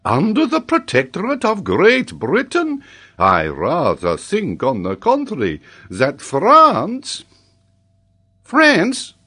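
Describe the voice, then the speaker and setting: A pompous, middle-aged geographer played by a male narrator in a storybook tale. The voice is pushed high and swoops widely, with emphatic, exclamatory, self-important professorial delivery. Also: huge pitch swings, takes it slowly, a bit bright, heavily acted. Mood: astonished, exasperated.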